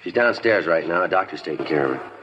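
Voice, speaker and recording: An actor playing a terse, deadpan police detective who narrates and questions suspects in a 1950s radio crime drama. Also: male voice, sits low, fast talker, a little hiss or hum. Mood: firm. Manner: clipped, deadpan, flat narration. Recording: lo-fi 1950s radio recording with music.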